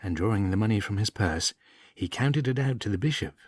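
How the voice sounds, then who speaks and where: A man narrating an old comic tale as a storyteller, in a measured, expressive, wry delivery with a husky voice. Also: fairly low pitch, no room sound. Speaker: audiobook reader.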